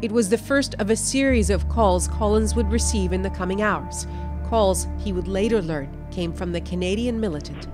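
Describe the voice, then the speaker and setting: A woman news correspondent reading a scripted documentary report in a measured, dramatic storytelling way. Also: mid-range voice. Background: music bed under the voice-over.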